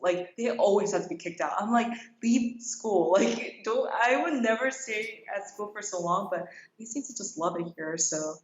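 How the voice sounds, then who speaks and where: A young adult woman from South Korea who grew up in Kenya, chatting casually as an interview guest and peppering her answers with "like". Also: on the low side. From South Korea, raised in Kenya. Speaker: interview guest, middle school teacher. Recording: roomy, dull lo-fi sound.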